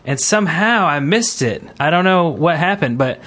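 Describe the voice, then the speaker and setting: A man hosting a podcast in casual panel chat, speaking in a hesitant, halting way. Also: a little high, lively intonation, a bit bright. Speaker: podcast host.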